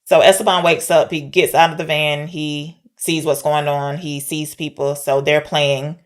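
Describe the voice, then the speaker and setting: A woman's voice: deep voice, flat delivery, a little slow. A woman co-hosting a podcast, retelling a story informally and checking her wording with her partner. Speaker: podcast co-host discussing films. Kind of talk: casual conversational plot recap.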